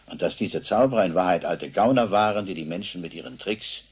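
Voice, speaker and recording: An actor playing a sceptical, pedantic scientist lecturing deliberately and emphatically in a radio play, with a husky, rough voice. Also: male voice, sits low. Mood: pondering, didactic. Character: pondering rationalist male scientist, lecturing, sceptical. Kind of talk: German radio play acting, lecture-like monologue.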